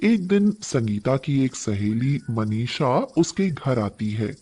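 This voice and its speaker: Man, storyteller, scripted narration, slow delivery, medium pitch. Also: big pitch swings, darkish tone, slightly rough.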